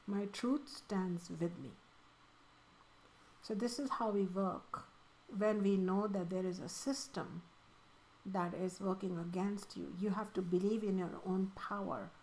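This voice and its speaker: A woman's voice: mid-range voice, a little mellow, a little hiss or hum, rough recording. A woman from India with an Indian accent, a spiritual teacher answering student questions in an earnest, emphatic way.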